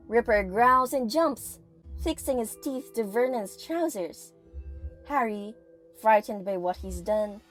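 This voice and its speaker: A female narrator with a fairly high voice delivers dramatic audio play narration. She takes her time, pausing for suspense, with music underneath. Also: low-quality recording. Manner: theatrical, suspenseful, with pauses for effect.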